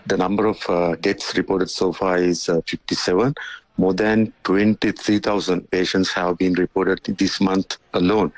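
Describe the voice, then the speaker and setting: Sri lankan accent guy reporting news, really low flat voice, bit halting. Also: dry recording. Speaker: news correspondent.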